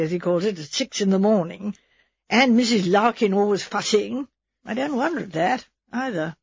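A gruff, husky English spinster of fifty, acted out by a woman reading a story. She is brisk, blunt and opinionated, speaking in clipped sentences. The recording is dull and boxy. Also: medium pitch, a little mellow, dry recording.

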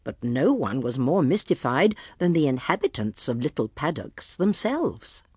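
A woman's voice: sits low, very animated, normal speed, a little mellow, slightly rough, no room sound, studio quality, exaggerated voice. A woman narrating a story from a script. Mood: wry storytelling.

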